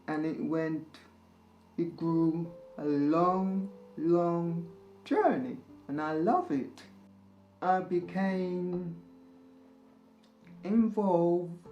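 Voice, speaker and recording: A woman in her sixties telling her own story in an interview, slow and searching, pausing often. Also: lowish voice. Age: 60s. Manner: slow, searching, with pauses and soft laughs. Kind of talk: reflective personal storytelling. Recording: roomy, slightly dull recording.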